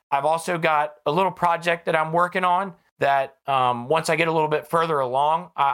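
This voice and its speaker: Bright, emphatic American podcast host, slightly hoarse. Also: mid-range voice. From United States. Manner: emphatic, repeats phrases for effect. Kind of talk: impassioned political monologue.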